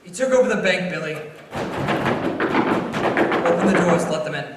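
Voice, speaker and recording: A man's voice: high-pitched, moderate pace, lightly acted. A young man playing an earnest, flustered businessman in a stage radio play, stammering and insistent as he repeats himself. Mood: wry, firm. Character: earnest, flustered young building and loan man, pleading and decent. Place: school theater stage. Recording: echoey hall, distant mic, faint noise.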